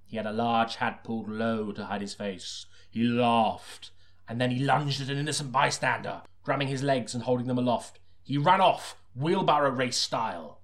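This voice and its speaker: A man's voice: mid-range voice, moderate pace, close to an everyday voice. Man acting a dramatic hard-boiled detective narrator. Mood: urgent, dramatic. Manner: earnest, dramatic storytelling. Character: deadpan melodramatic hard-boiled detective narrator, secretly a sinister killer. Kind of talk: scripted audiobook narration of a detective story.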